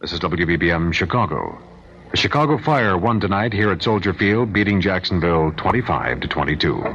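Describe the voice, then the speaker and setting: A man's voice: deep voice, lively intonation, brisk. A man reading a scripted announcement as a radio station announcer. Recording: muffled, noisy old radio broadcast.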